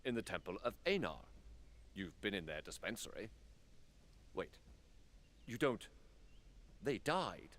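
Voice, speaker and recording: A stately council lord from a fantasy game. His voice is deep, and he speaks formally and guardedly, like a statesman carrying heavy worries. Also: very animated, unhurried, pauses often, fairly bright tone. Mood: alarmed. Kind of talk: fantasy video game cutscene acting.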